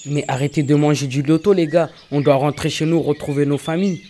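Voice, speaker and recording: A man's voice: fairly high pitch, fairly even intonation, a little fast, mellow, a little hiss or hum, natural voice. A male performer playing an earnest Greek hero who exhorts his crew in an audio drama. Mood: exasperated.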